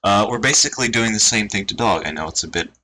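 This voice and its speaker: A man streaming, chatting casually and reading posts aloud in a dry, monotone way. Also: on the low side, fast. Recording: noisy home stream recording.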